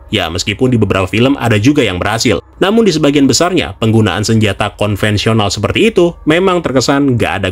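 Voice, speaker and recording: A man narrates a semi-casual scripted explainer, speaking directly to viewers in a conversational way. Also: medium pitch. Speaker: video narrator. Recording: music behind the voice. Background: background music.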